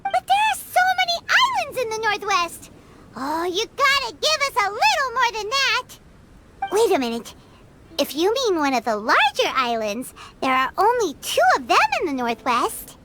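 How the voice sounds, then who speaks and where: A woman voicing a tiny, childlike fairy companion in a video game, squeaky, perky, feisty and quick to get indignant. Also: sing-song, background noise. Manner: perky, indignant, refers to self by name. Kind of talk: cartoonish video game character acting. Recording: game music under the voice. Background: video game music.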